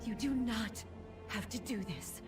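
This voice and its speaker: A woman's voice: a little high, unhurried, lightly acted. A woman voicing a devoted, distraught sister in a game cutscene, imploring and emotional. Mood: anguished pleading. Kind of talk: video game cutscene acting. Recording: distant voice under game music.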